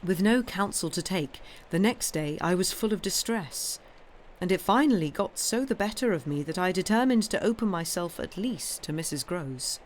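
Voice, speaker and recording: A woman reading a classic novel aloud in an expressive, literary narrating style. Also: on the low side, moderate pace. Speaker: reader, streamer. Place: live stream. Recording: clearly noisy background.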